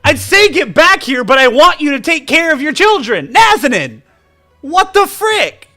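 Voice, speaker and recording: Excitable, high-pitched male game streamer. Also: measured pace, faint music behind. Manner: excitable, effusive, exclamatory. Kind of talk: comedic live gameplay commentary.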